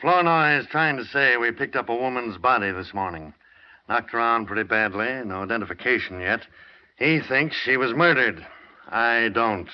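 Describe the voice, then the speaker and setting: A man's voice: medium pitch, normal speed, natural voice. Guy playing tough radio cop, blunt, pushy questioning, mid voice, dull vintage sound. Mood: sceptical. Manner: blunt, pressing, clipped. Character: tough, insistent police homicide lieutenant, sceptical interrogator. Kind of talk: 1940s radio crime drama acting. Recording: dull old radio recording.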